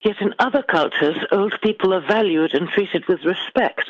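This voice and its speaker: A woman's voice: medium pitch, no room sound. Articulate British woman, slightly dull recording. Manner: articulate, measured, formal phrasing. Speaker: guest author. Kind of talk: literary interview, considered answers.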